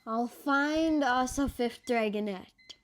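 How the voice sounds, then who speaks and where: Boy playing earnest male sea dragon, high-pitched. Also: slow pace, fairly bright tone, exaggerated voice. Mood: urgent, determined. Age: child. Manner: hissing, grim, insistent. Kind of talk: bedtime storybook read-aloud.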